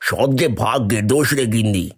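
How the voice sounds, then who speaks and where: A man voicing an envious, scheming poor villager who grumbles bitterly in an animated moral story. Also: mid-range voice, a little fast, close to an everyday voice. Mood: envious grumbling.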